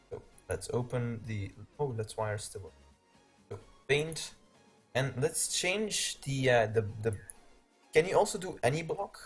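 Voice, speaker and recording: A man's voice: fairly low pitch, breathy edge. Belgian-accented man hosting a casual stream. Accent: Belgian Dutch. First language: Dutch. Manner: rambling, laughs often, thinks aloud. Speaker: live stream host. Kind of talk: casual game tutorial chat.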